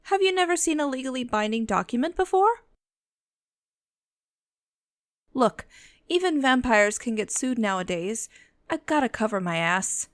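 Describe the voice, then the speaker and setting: A woman's voice: on the high side, no room sound, lightly acted. A woman playing a bossy, protective immortal vampire in an ASMR roleplay, speaking with dry, commanding condescension. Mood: businesslike, wry. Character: bossy, protective female vampire, immortal, businesslike and dryly menacing. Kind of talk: scripted ASMR roleplay audio, addressing the listener.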